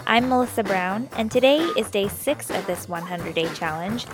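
A young adult woman hosting a personal storytelling podcast, a little raspy and stuffy from a cold, candid and chatty. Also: mid-range voice, moderate pace, over music. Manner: candid, self-deprecating, chatty. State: slightly sick, stuffy nose. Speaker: podcast host.

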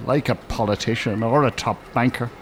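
An actor playing a bitter, pedantic older Irish retired English teacher in an audio drama, dry and well-spoken. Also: male voice, mid-range voice, moderate pace, background noise, close to an everyday voice. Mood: bitter, angry.

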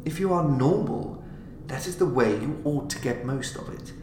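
Gloating mad scientist, acted by a male reader, emphatic lecturing, swoops up in pitch, noisy roomy audio. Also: lively intonation, moderate pace, lightly acted. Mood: fervent lecturing. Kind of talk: audiobook character acting. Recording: roomy, clearly noisy home recording.

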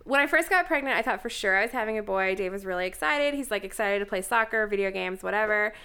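A woman's voice: mid-range voice, expressive, fast, sharp tone, smooth. A woman in her late thirties, talking bluntly and casually on a podcast, swearing now and then and laughing easily. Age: 30s. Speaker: podcast co-host. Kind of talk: casual chat.

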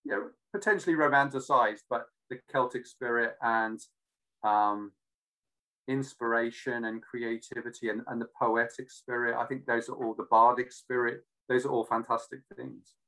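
A man from Cardiff with a British but not Welsh accent, talking casually and reflectively, often pausing to search for words. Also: mid-range voice, fairly even intonation, sharp tone, poor audio. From Cardiff, Wales. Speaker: interview guest.

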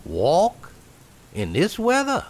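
An actor playing a smooth, menacing stranger with a folksy, falsely friendly manner in an old radio thriller. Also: male voice, high voice, huge pitch swings, slow, heavily acted. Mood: casual, evasive. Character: smooth, menacing male stranger driving a lone woman. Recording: noisy old radio drama recording.

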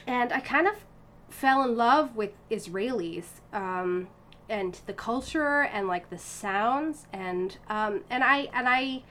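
Animated storytelling woman, higher voice. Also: slow pace. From United States. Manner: animated, frequent fillers and restarts, laughs often. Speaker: interview guest, violinist. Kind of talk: casual conversational storytelling.

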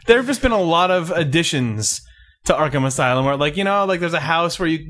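Guy w/ slightly higher voice, games podcast host, playful riffing, casual banter. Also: expressive, fairly quick, fairly bright tone. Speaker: video game podcast host.

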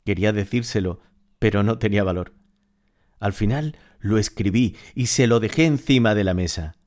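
A man's voice: on the low side, normal speed, a little mellow, lightly acted. A man playing a smitten, wistful narrator reading scripted literary audio fiction. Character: smitten, wistful male narrator, devoted to his beloved.

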